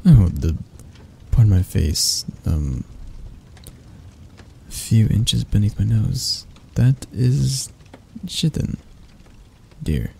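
A man playing a seductive, doting yet predatory castle monster in an intimate ASMR roleplay, close to the mic with a husky, gravelly voice and a slow, teasing manner. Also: fairly low pitch, full character voice. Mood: sly, hungry. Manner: slow, teasing, coaxing, with soft chuckles. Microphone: close microphone.

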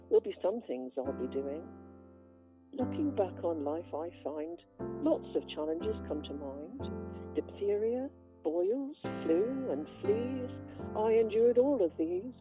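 An older woman playing a modest, reflective elderly lady with a homely, measured delivery in an amateur radio play. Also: mid-range voice, big pitch swings, music in the background. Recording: thin phone line.

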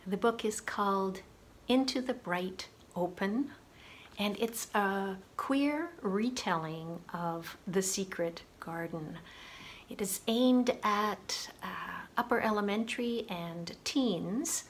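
Woman, book channel host, slow, musing, pauses often, faint hiss behind. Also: medium pitch. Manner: relaxed, musing, frequent "uh" pauses. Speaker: booktube host. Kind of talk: casual book review.

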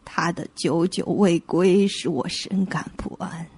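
An actress playing a grieving woman who lost her twin, with halting, anguished delivery in an audio suspense drama. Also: lowish voice, darkish tone, husky, exaggerated voice. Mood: sorrowful, uneasy.

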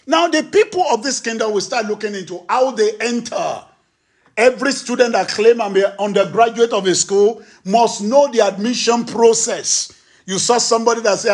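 A middle-aged man preaching a sermon from a church stage into a handheld microphone, emphatic and acting out little dialogues. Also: husky. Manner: emphatic preaching, acts out dialogue with rising pitch. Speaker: pastor. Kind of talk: sermon teaching.

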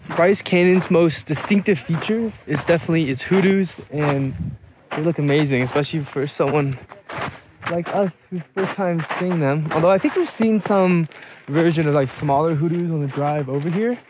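A man's voice: on the high side, noisy background. Young man vlogging outdoors, matter-of-fact. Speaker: travel vlogger. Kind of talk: casual travel vlog narration. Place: outdoors at a snowy canyon overlook. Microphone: phone camera at arm's length.

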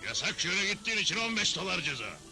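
A man's voice: high-pitched, big pitch swings, fast talker, pushed and bright, faint music behind, heavily acted. A man voicing a blustering, greedy Western judge in a Turkish cartoon dub, with a theatrical delivery. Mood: threatening, combative.

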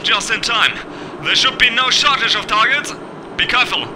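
Very high-pitched male starfighter pilot. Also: expressive, normal speed, very noisy. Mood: cocky, excited. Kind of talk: sci-fi audio drama acting.

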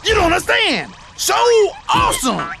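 An actor playing a frantic mind-controlled mutant beast in a cartoon game, with a gravelly voice. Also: male voice, very high voice, wildly swooping pitch, normal speed, exaggerated voice. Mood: strained. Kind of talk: cartoon video game acting. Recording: loud music behind the voice.

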